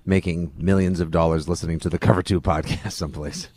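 A man's voice: low voice, steady tone, fast, a little mellow, husky. A man co-hosting a sports podcast with casual, wry, colloquial football banter.

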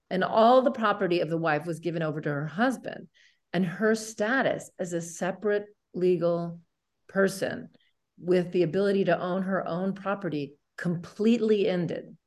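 A woman's voice: on the low side, moderate pace, darkish tone. A woman co-hosting an educational discussion, explaining things in an earnest manner. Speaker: show co-host.

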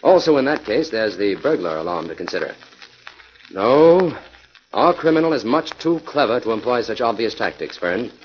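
A muffled, noisy 1940s radio recording carries a man acting a confident male sleuth. His pitch sits in a middle range, and he states things briskly and decisively, as one used to taking charge. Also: sing-song, close to an everyday voice. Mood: deliberate, confident. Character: decisive, self-assured male crime-fighting sleuth.

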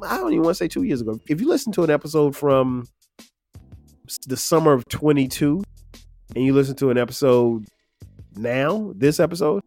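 A man in his fifties hosting his own podcast, talking in a relaxed, rambling way with lots of fillers, his voice a little nasal and stuffed-up. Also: fairly high pitch, brisk, long pauses, faint music behind. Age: 50s. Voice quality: slightly nasal, stuffed-up from sinus trouble. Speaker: podcast host. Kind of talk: casual solo podcast reflection.

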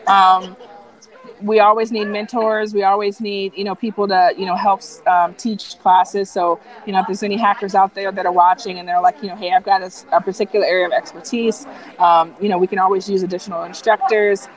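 A woman talks casually and with enthusiasm, leaning on "um" and "you know" as she goes. Her voice is wearing thin and slightly hoarse after a long day of talking. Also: medium pitch, fairly quick, low-quality recording. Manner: relaxed, filler-heavy, enthusiastic. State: losing her voice. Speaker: founder of a nonprofit hacking group, guest. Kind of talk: casual live interview chat.

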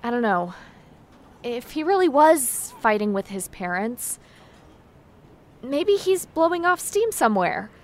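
Casting: guarded teen, higher voice, curt and irritable, naturalistic audio drama delivery. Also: female voice, sing-song, measured pace, long pauses. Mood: irritated, dismissive. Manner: curt, dismissive. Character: guarded, irritable teenager, curt former friend.

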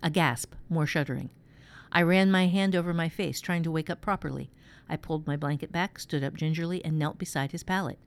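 Storybook first-person boy narrator read by a woman: steady, protective, measured, slightly low female register. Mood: worried. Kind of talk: scripted fantasy story reading.

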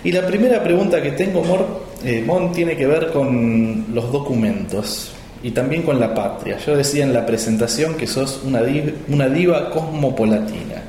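A man's voice: medium pitch, normal speed, hardly any pauses, warm tone, slight background noise. Argentine man hosting in an echoey room. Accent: Argentine Spanish. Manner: long winding questions. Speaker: podcast host. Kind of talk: interviewer. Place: hotel room. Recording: roomy echo with faint music.

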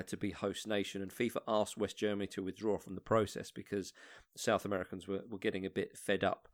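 A man hosting a football podcast, chatting casually in a halting way with many restarts. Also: on the low side. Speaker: podcast host. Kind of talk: casual chat.

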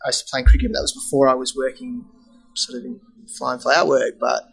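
Fast-talking Australian man, gravelly. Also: medium pitch, low-quality recording. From Perth. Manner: hesitant, many fillers, agreeable. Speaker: fly-in fly-out worker. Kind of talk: casual chat.

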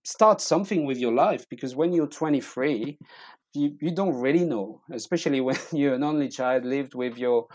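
A middle-aged man with a French accent telling personal stories in casual conversation, haltingly, often repeating words as he searches for them. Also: medium pitch, a little mellow, no room sound, rough recording. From eastern France. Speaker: interview guest.

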